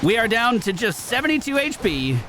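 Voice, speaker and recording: A man's voice: extremely high pitch, big pitch swings, fairly quick. A male gaming streamer giving casual live commentary, chatting and thinking aloud as he plays. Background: game music.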